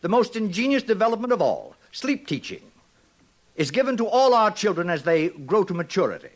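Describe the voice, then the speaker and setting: A man's voice: high-pitched, big pitch swings, fairly quick. An actor playing an imperious, coldly smug male director, lecturing in a pompous, declamatory vintage radio drama style. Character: imperious male director lecturing students, smugly cold authority. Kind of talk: 1950s radio drama acting.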